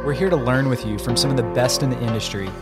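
A man's voice: mid-range voice, fast talker, over loud music. A man with an American accent co-hosting a podcast interview, talking informally and effusively. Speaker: podcast co-host.

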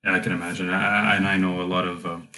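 A young man hosting a relaxed conversation, talking in a thoughtful way with plenty of fillers. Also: deep voice, fast. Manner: reflective, with frequent fillers. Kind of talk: casual conversation.

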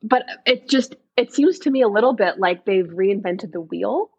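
Brief: young woman podcast co-host, breathy tone, dry slightly dull recording, chatty and giggly. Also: medium pitch, lively intonation, normal speed, a little mellow, no echo. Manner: laughs often, chatty and curious. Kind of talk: casual podcast chat.

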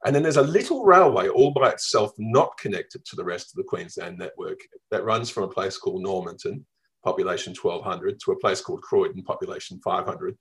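A middle-aged man from Melbourne with an Australian accent, telling travel stories in a radio interview, reflective and lively, with ums and restarts. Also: deep voice, fairly quick, poor audio. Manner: reflective, lively storytelling with fillers. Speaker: travel writer guest.

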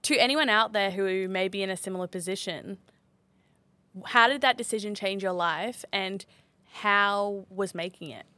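A woman's voice: mid-range voice, moderate pace, sharp tone, dry recording. Chatty woman podcast host. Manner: chatty, encouraging, frequent "mm" backchannels. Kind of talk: casual podcast interview.

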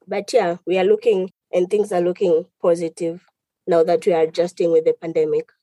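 A woman from Tanzania, a lawyer guest on a podcast, explaining her country's business and employment law in a measured way. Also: fairly low pitch, level tone, dark tone, dry recording. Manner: measured, explanatory, lists items. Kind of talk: podcast interview answers.

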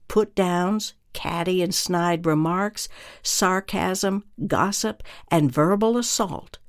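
A minister delivering a scripted religious teaching in a deliberate, earnest way, with emphatic phrasing. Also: mid-range voice.

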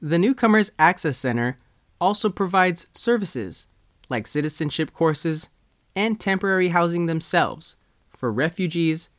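A man reading a scripted news story as a reporter, in measured, short phrases. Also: a little high, dry recording, studio quality. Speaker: news reporter and writer reading own article.

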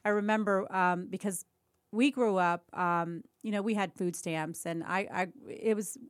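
A talkative woman in her forties, a native Spanish speaker who grew up in Cuba, recalling her past in an easy, rambling way. Her voice is smooth and clear, recorded close and dry with no room sound, and she often restarts her sentences and breaks into laughs. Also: mid-range voice, moderate pace, no room sound. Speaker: interviewee, former pro football cheerleader. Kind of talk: casual conversational storytelling.

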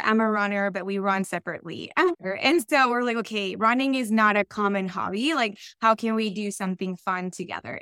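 A woman's voice: mid-range voice, a bit bright, clear, resonant tone, dry recording, clean studio sound. A woman from Colombia with a Colombian Spanish accent, a guest on a podcast, answering questions in a friendly, explanatory way. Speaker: podcast guest, real estate investor. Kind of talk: conversational interview answers.

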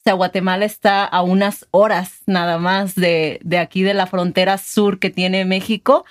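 Mujer mexicana, presentadora de podcast. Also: female voice, medium pitch, steady tone, moderate pace. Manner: friendly, guiding the interview with questions. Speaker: podcast co-host. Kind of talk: casual chat.

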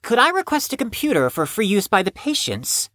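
A woman's voice: mid-range voice, big pitch swings, brisk, fairly bright tone, dry recording, studio quality. A woman playing a formal, pedantic, earnest psychiatric patient in an audio drama, with precise, stilted, wordy speech.

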